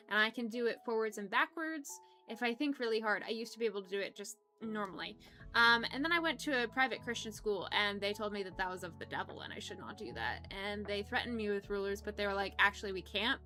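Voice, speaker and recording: A woman's voice: medium pitch, bright, forceful tone. A young woman streaming, chatting casually with her viewers in a rambling, giggly way that often trips over words. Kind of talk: casual chat with live chat.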